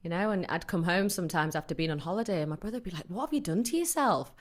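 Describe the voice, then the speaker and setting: A woman's voice: fairly low pitch, very fast. A woman in her forties, a fitness coach, talking frankly and telling stories in a casual interview with easy laughter. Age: 40s. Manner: frank, lively storyteller who laughs easily.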